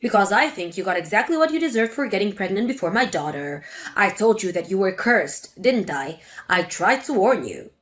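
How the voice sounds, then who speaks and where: A woman voicing a spiteful older mother-in-law who lectures and sneers, with a lowered voice. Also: lively intonation, moderate pace, a bit bright, faint music behind, natural voice. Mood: scornful. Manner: scornful, lecturing.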